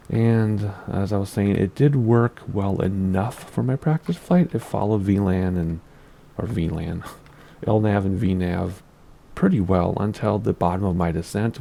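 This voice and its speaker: A man's voice: sits low, creaky, mellow. A man hosting a flight simulator gameplay video in casual commentary, his voice scratchy from allergies, rambling and easily distracted and laughing at his own slips. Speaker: flight sim gameplay host.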